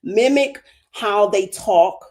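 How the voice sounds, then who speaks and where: A woman's voice: medium pitch, slow, a little mellow. A woman with a Baltimore accent speaking on a livestream as a spiritual guest, passionate and emphatic, building her points through repeated phrases. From Baltimore, USA. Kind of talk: livestream talk.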